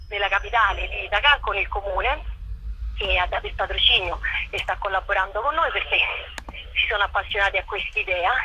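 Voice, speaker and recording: A woman's voice: medium pitch, bright, forceful tone. A woman, a guest on a radio phone interview, explaining expressively and with enthusiasm. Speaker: guest, actress and writer. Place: on a telephone line. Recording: telephone call on radio.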